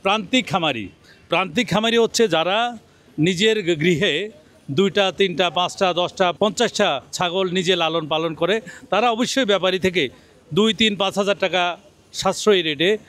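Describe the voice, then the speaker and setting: A man's voice: sits high, moderate pace, fairly bright tone. A middle-aged man reporting from an outdoor livestock market into a handheld mic, persuasively addressing viewers. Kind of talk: on-location promotional interview. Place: outdoor livestock market under a bamboo shed.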